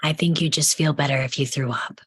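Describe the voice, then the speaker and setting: A woman's voice: low voice, fairly even intonation, brisk, husky, no room sound. A woman playing a poised, smug rich woman with falsely sweet composure in a comedy table read. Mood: needling.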